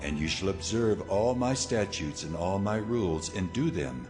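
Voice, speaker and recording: A deep, commanding God delivers laws in a dramatized scripture audio production. He is solemn and measured, with very low pitch, a touch of hall reverb and soft music beneath. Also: lively intonation.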